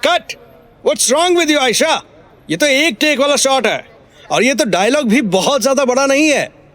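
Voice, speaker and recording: A man voicing a brisk, commanding film director with clipped calls in a Hindi audio drama. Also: very high-pitched, animated, a bit bright, voice pushed up, heavily acted. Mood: exasperated. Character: brisk film director calling shots on set.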